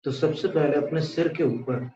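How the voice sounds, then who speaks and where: A man with an Indian accent leading a guided meditation, speaking calmly and deliberately with pauses. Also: on the high side. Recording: echoey room, dull muffled sound.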